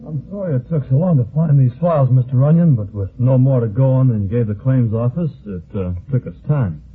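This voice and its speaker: An actor playing a courteous, methodical records clerk with a formal manner in an old-time radio drama. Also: male voice, medium pitch, a little fast, faint music behind, lightly acted. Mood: businesslike. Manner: formal, orderly. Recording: muffled, tinny vintage radio recording.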